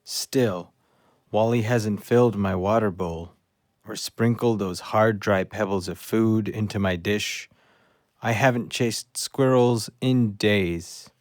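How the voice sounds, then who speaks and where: A man's voice: lowish voice, slow, no echo, clean studio sound. An actor playing a small, earnest, devoted rescue dog who tells his story wistfully in an audio play. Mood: worried, wistful.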